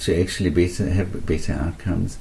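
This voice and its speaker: A man with a South African accent speaks as a guest on a radio interview. His voice sits very low with a dark, mellow tone. He talks earnestly and haltingly, filling gaps with ums. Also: steady tone, creaky. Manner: earnest, hesitant, many pauses and ums.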